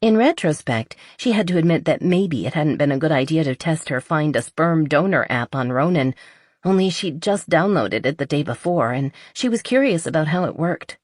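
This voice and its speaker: A woman's voice: low-pitched, animated, normal speed, a little mellow, no room sound, studio quality. A woman reading a romance novel aloud as a scripted audiobook narration.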